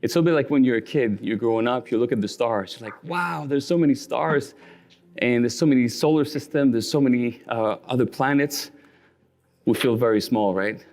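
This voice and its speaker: A man's voice: mid-range voice, fast talker, darkish tone. A man giving a passionate motivational talk on a video call, asking his listeners rhetorical questions. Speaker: guest speaker, roofing company leader.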